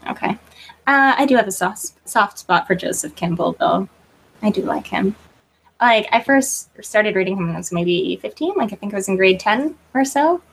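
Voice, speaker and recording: A woman's voice: medium pitch, lively intonation, moderate pace, creaky, slightly rough, low-quality recording. A woman chatting casually as a guest author in an interview, informal and self-deprecating in manner.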